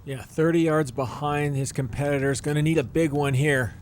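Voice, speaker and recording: A man's voice: fairly high pitch, fairly even intonation, normal speed, slight background noise. A man giving color commentary on a golf broadcast, candid about each shot. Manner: candid, gives frank praise and criticism of shots. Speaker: golf analyst.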